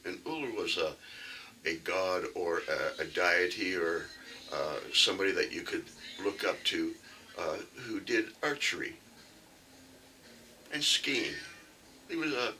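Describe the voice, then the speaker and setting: Folksy Canadian man, low and sharp. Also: recorded from a distance, slight background noise. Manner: rambling, folksy, with frequent fillers. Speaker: archery vlogger. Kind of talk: casual demonstration talk.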